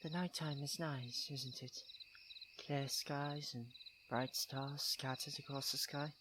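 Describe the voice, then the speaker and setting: A man acting a proud but secretly tender young prince in an ASMR roleplay, posh and halting, teasing and easily put on the defensive. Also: mid-range voice, fairly even intonation, noisy background, natural voice. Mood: wistful, hesitant.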